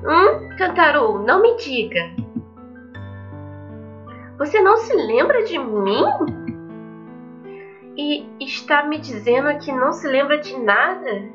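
A woman acts out an anxious teenage schoolgirl from an anime visual novel. She is shy and stammers hesitantly, pitched high, over game music with a slightly dull sound. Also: very animated, slow pace, long pauses, a bit bright, full character voice. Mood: worried. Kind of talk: visual novel character read aloud.

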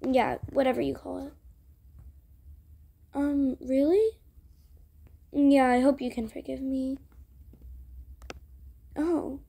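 High-pitched, slow creaky woman storyteller. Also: steady tone, dark tone. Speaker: video creator voicing all characters. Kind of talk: improvised character roleplay storytelling for a toy-world game video.

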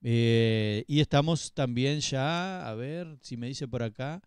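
Brief: middle-aged Uruguayan male co-host, smooth clear voice, dry close studio sound, casual and chatty. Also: medium pitch, a little mellow, no room sound. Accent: Uruguayan Spanish. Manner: chatty, teasing, quick interjections. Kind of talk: casual radio chat. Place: small radio studio. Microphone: studio mic on boom arm, headphones.

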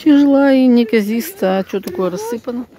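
A woman's voice: animated, a little fast, a crowd in the background. An older woman chatting casually as a vlogger, rambling to herself in a folksy, exclaiming way with playful diminutives. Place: shop, bus, snowy street, flat. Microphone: handheld phone. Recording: noisy handheld recording with vehicle rumble.

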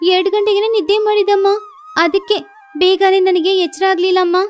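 A woman's voice: extremely high pitch, flat delivery, moderate pace, soft background music, pitched above the performer's own voice. A woman voicing a young cartoon sparrow daughter, an earnest schoolgirl who talks in a pleading, childlike way. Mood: apologetic, explaining.

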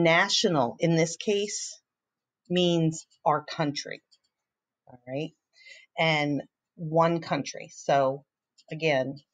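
Middle-aged woman, teacher explaining to children over video; low pitch, unhurried delivery. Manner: deliberate, often checking with "all right?". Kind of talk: remote reading lesson, explaining to children. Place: small room at home, indoors. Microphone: computer mic on a screen-share video call.

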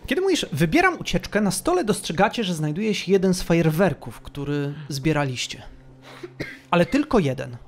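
A woman's voice: on the low side, sing-song, normal speed. A woman narrating a tabletop roleplaying game as game master, telling the story in a descriptive, suspenseful way.

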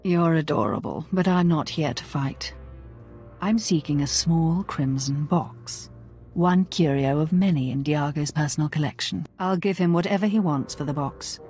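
A woman's voice: low-pitched, normal speed, a little mellow, faint music behind. An actress playing a vain, haughty, seductive female Sith villain in a video game, with a silky manner. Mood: cool, sly.

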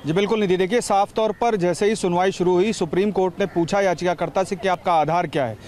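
High-pitched man reporting live outdoors. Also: a little mellow, slight background noise. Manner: measured explanatory, glossing terms. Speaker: field reporter. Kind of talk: live reporting from outside a court. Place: outdoors by a street. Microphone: handheld reporter microphone.

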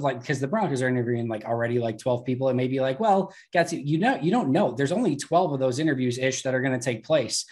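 A man's voice: mid-range voice, darkish tone. A young man hosting a sports podcast over a video call from home, upbeat and talkative. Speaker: podcast host. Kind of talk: casual sports podcast chat. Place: room at home.

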